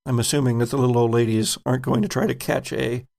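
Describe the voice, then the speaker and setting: A man with a gravelly voice narrating an improvised tabletop game as the game master. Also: mid-range voice, fairly even intonation, quick pace, darkish tone.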